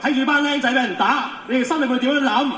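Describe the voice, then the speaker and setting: A man's voice: very high voice, fast talker, pushed and bright. A man in his thirties from Hong Kong, a volunteer first aider, giving an emphatic, pleading speech to a protest crowd. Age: 30s. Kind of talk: impassioned street speech. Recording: echoey, distant, faint street noise.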